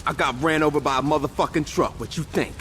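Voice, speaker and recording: A man's voice: on the high side, quick pace, fairly bright tone, slight background noise, lightly acted. An actor playing a streetwise male gang member in a video game cutscene, slangy and foul-mouthed. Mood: concerned.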